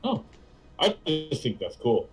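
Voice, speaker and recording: A man's voice: a little high, very animated, takes it slowly. A man chatting casually as a co-commentator on a game stream, dropping short joking asides. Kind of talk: casual chat. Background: background music.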